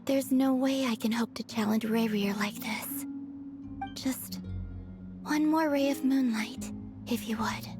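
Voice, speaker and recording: A woman playing a serene, ethereal young moon maiden in a video game, speaking softly and solemnly in a breathy near-whisper. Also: fairly high pitch, music in the background, natural voice. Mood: subdued. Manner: slow, solemn, formal. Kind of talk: dramatic video game voice acting.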